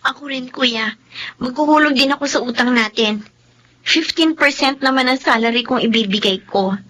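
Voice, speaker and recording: A sweet younger sister in a radio drama. Her voice is very high and girlish. She sounds grateful and respectful toward her big brother. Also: normal speed, fairly bright tone. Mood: earnest, shy. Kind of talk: Tagalog radio drama acting.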